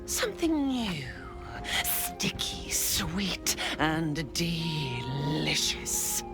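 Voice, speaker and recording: A sadistic cult killer from a fantasy video game. She speaks very slowly and sweeps wildly up and down in pitch, toying with her prey before dropping to a hiss. Music plays behind her. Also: big pitch swings, heavily acted. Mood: taunting. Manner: taunting, sing-song, swings between hiss and whisper. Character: sadistic young female murder-cult assassin. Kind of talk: video game cutscene acting.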